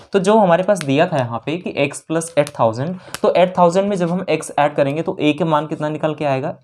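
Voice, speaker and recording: A woman's voice: low voice, darkish tone. A woman with an Indian accent teaching a maths lesson step by step, repeating phrases and posing questions as she explains.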